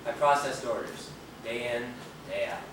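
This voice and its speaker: An actor on stage playing a guarded, world-weary rebel who speaks in terse, understated replies. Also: male voice, mid-range voice, moderate pace, distant mic, natural voice. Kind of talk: theatrical stage acting. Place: theater stage, picked up from a distance. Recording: roomy, noisy videotape of stage play.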